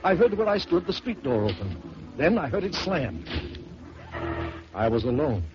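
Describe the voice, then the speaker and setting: A man hosting an old radio storytelling show, reading a ghost story aloud in a measured, theatrical way. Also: on the high side, very animated. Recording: muffled old radio broadcast with hiss.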